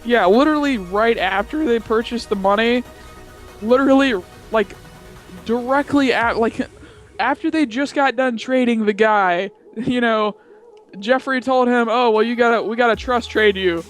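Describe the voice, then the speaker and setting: Young male gamer, extremely high voice, casual giggly storyteller who rambles and repeats himself, light music underneath. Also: normal speed.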